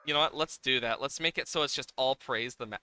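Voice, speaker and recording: A man's voice: medium pitch, quick pace, bright, forceful tone, clean tone. A man livestreaming a game, talking casually and playfully as he thinks aloud in a rambling way. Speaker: livestreamer, host. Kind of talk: casual live game-stream chat, reacting to game and viewers.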